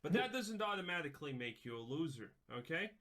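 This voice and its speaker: Bright, lively man lecturing emphatically. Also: a little high, fast talker. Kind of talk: emphatic reaction commentary.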